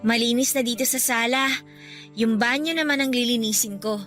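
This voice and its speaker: A woman's voice: a little high, normal speed, over music, lightly acted. A woman playing a timid, dutiful young girl in a radio drama, with a polite, pleading manner. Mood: dutiful, uneasy.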